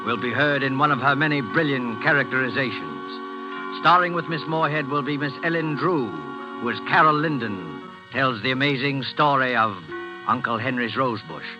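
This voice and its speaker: A man giving formal, measured scripted narration as a 1940s radio announcer. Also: medium pitch. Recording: muffled 1940s radio recording. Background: music.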